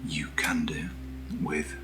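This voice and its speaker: A British man speaking in a soft, breathy near-whisper as he hosts a casual ASMR video, gentle and unhurried, close to the microphone. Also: a bass voice, little pitch movement, over music. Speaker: ASMR video host reviewing camera gear. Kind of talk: soft-spoken ASMR, casual unboxing chat.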